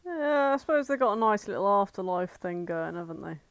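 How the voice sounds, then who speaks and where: A woman's voice: mid-range voice, lively intonation, brisk, no room sound. A woman co-hosting a podcast in casual, conversational chat. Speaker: podcast co-host.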